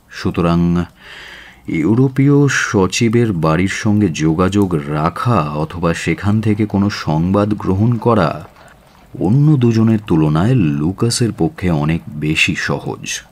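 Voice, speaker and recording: Deep-voiced, authoritative male detective. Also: darkish tone. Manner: deliberate, emphatic, authoritative. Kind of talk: radio drama acting.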